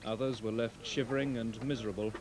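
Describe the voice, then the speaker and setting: A man reading a measured, scripted TV news report in carefully composed phrases. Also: mid-range voice, fairly even intonation, background noise.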